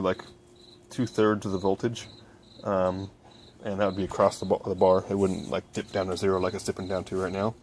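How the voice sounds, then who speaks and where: A young man giving a casual project walkthrough in a low-key, hesitant way, thinking aloud as he goes. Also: a little mellow, a little hiss or hum. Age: young adult. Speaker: hobby electronics vlogger. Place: small cluttered home workbench indoors. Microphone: handheld phone camera.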